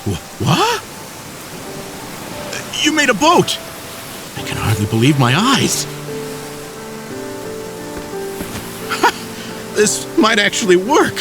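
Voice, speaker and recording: An excitable male runaway sidekick from a fantasy game. His fairly high voice leaps wildly up and down with irreverent, colloquial expressiveness. Music sits clearly underneath. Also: slow pace, pauses often, exaggerated voice. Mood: amazed. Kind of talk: dramatic video game voice acting.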